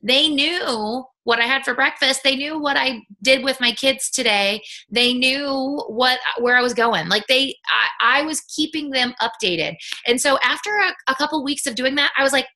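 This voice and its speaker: A woman talking casually on a video call as a guest, upbeat and chatty, with many quick restarts. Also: sits high, bright, forceful tone. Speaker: guest sales ambassador. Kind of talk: casual live-stream chat.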